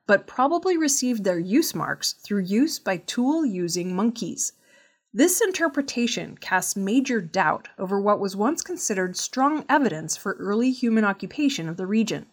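A woman presents scripted news in a medium-pitched voice. Her delivery is measured, and she pronounces technical terms with care. It sounds like a prepared narration read by a news presenter. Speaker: news reader.

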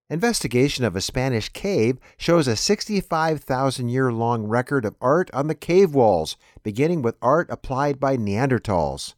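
A man hosts a news programme with scripted lines. His pitch moves in an animated way, and the recording is dry with no room sound. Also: dry recording. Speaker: host introducing a news show. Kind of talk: scripted show introduction and donation appeal.

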